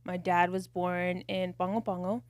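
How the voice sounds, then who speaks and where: A woman co-hosting a podcast, telling her family story in a reflective, measured way with casual fillers. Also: lowish voice, level tone, no room sound. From United States. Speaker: podcast co-host.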